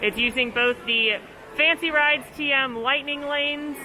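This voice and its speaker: A woman's voice: high-pitched, fairly even intonation, measured pace, sharp tone, rough recording. A woman hosting an upbeat theme-park vlog outdoors, talking in a bubbly, chatty, playful way. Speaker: vlog host.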